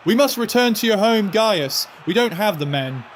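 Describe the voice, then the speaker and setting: An actor playing a loyal, urgent Roman friend in an audio drama. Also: male voice, sits high, expressive, normal speed, slight background noise. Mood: urgent alarm.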